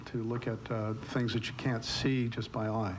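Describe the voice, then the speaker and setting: A man's voice: mid-range voice, flat delivery, moderate pace, crowd noise. A man testifying as an expert witness, explaining technical matters. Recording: noisy room ambience.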